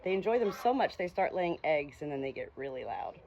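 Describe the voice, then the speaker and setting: A woman reading aloud informally with casual asides, speaking in an unhurried way outdoors on a small farm. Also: low voice, big pitch swings, darkish tone. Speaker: audiobook reader and small hobby farm keeper. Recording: noisy outdoor ambience with farm animals. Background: farm animal sounds, ambient noise.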